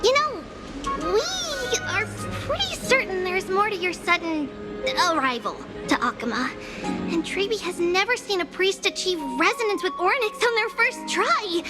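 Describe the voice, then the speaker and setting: Very high, bubbly little girl priestess. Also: expressive, normal speed. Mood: warm, chatty. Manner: bubbly, exclaiming, speaks of herself as we. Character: cheerful, helpful little girl priestess, playful and chatty. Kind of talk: video game character dialogue. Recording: game music under the voice.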